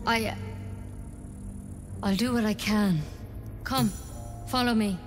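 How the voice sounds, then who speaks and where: An actress playing a caring young druid healer in a fantasy game, brisk, inquisitive and matter-of-fact. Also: female voice, mid-range voice, lightly acted. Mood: hurried, helpful. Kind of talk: naturalistic fantasy game acting. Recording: long reverb with music behind.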